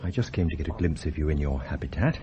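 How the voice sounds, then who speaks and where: An actor playing a cocky, confident television investigator in a radio drama, brisk and conspiratorial. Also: male voice, rumbling low, lively intonation, muffled recording, a crowd in the background. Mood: cocky, urgent.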